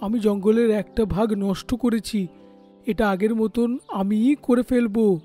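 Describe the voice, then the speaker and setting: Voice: medium pitch, steady tone, measured pace, mellow, faint music behind, lightly acted. An actor voicing a hot-headed young male parrot, petulant and emphatic, in a cartoon. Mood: remorseful.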